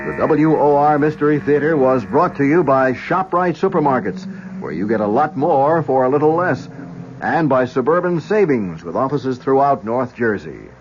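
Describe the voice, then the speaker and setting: A man's voice: mid-range voice, highs cut off, a little hiss or hum. A man reading scripted 1970s radio news and announcements in a formal manner, on a vintage broadcast recording.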